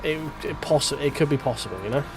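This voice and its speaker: Congested streamer over racing game sounds. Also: male voice, medium pitch, quick pace. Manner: chatty, mutters to himself while driving. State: congested, blocked-up nose. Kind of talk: live gameplay commentary. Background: game music and car engine sounds.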